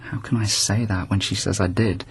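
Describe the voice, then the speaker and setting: Deep, husky, brooding poet. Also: male voice, steady tone, normal speed. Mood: defensive, wary. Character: brooding, tormented male court poet, intense and troubled. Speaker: actor in a radio drama. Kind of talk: radio drama acting.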